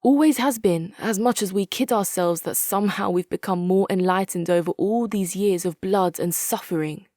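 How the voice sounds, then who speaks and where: Woman acting female military commander, hard-nosed, measured and wry, video game quote delivery, mid-pitched, very dry close recording. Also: mellow, no echo, lightly acted. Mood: firm. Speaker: video game faction leader. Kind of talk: dramatic scripted game quotes.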